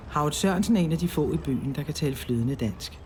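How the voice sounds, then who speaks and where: A man's voice: on the high side, animated, quick pace, a little hiss or hum. A man narrating a documentary in a plain, informative scripted way.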